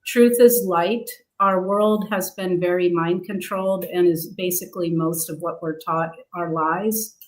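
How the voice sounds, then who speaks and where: A woman guest answering interview questions, halting, with many fillers. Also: lowish voice, steady tone, low-quality recording. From grew up abroad in several countries.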